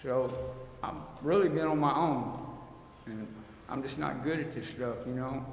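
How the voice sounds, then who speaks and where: A man's voice: mid-range voice, a little slow. Echoey man making a public plea. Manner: plain-spoken, pleading. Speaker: resident appealing at a city council hearing. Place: council chamber. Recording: echoey hall, long reverb, faint noise.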